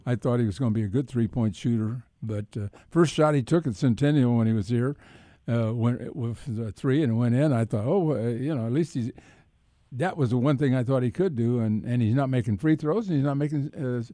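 A man giving casual sports commentary as a radio co-host, halting and repeating words as he goes. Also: medium pitch, mellow, no room sound, clean studio sound. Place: radio studio.

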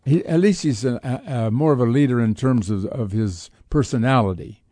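A man hosting radio sports talk, giving opinions casually in a halting, conversational way. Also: medium pitch, warm tone, no echo, studio quality.

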